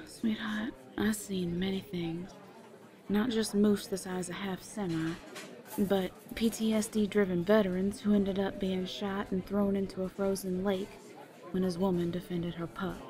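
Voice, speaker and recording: A woman's voice: mid-range voice, flat delivery, a little slow, dark tone, close to an everyday voice. A woman playing a brash, bossy but motherly small-town eatery woman, blunt and teasing, in an audio drama. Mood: frank, reassuring. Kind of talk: audio theater acting.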